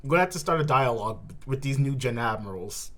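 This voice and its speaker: This is an animated host doing a live manga reaction. The delivery is casual and slangy, full of repeated words and exclamations, with a very lively pitch range and a bright, punchy tone. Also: fast.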